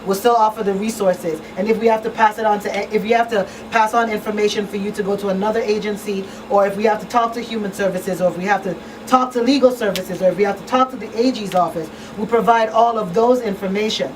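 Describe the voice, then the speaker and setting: A woman's voice: medium pitch, fairly even intonation, quick pace, hardly any pauses, far from the mic, background noise. A woman hosting a conversational community radio talk show, speaking in an earnest, emphatic way as an advocate. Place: small radio studio. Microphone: studio microphone on boom arm.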